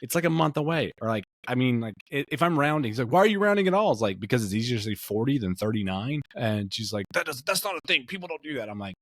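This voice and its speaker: A man's voice: medium pitch, lively intonation, quick pace, hardly any pauses, no room sound. A man in his late thirties co-hosting a casual podcast chat, rambling with many fillers and restarts. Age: 30s. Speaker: podcast co-host.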